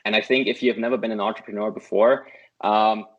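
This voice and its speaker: A man's voice: fairly low pitch, fairly even intonation, brisk, dull audio. A man from Germany with a German accent, a non-native English speaker, earnestly sharing tips as an interview guest and often tagging "right?" onto his points. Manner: earnest, repeats words and adds "right?" often. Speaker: guest, course student. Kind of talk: casual interview over a call.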